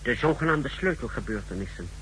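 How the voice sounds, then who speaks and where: A man's voice: mid-range voice, lively intonation, brisk, a bit bright, close to an everyday voice. An actor playing a formal, precise secret service technician reporting to his superior in a 1960s radio drama. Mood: clinical, formal. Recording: noisy, humming 1960s radio recording.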